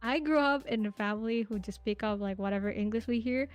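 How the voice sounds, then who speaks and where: Giggly young woman with a fairly high voice. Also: fast, smooth, dry recording, soft background music. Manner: giggly, rambling storytelling with many fillers. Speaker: podcast guest. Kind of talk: casual interview chat.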